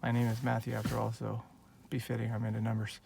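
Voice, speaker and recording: A man in his late forties from Northern California talks straight into his handheld phone in a casual personal vlog, earnest and heartfelt, wandering from thought to thought. His voice is heavily gravelly with a lot of fry, sitting at an ordinary middle pitch. A faint hiss of background noise sits under the recording. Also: completely flat delivery, fast, a little mellow. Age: 40s. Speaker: vlogger.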